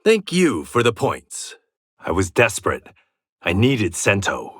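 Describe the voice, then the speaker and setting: An actor playing a proud, resolute blind swordsman in a video game, with a husky voice and a terse, defiant delivery. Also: on the low side, huge pitch swings, slow pace, full character voice. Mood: dry banter. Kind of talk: video game combat voice lines.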